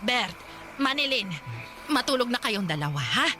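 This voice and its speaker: Anxious, high-voiced elderly Filipino grandmother. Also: sing-song, moderate pace, noisy background. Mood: worried, chiding. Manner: anxious, pleading, scolding. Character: elderly, worried, pleading Filipino grandmother keeping peace. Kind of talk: Filipino radio drama acting.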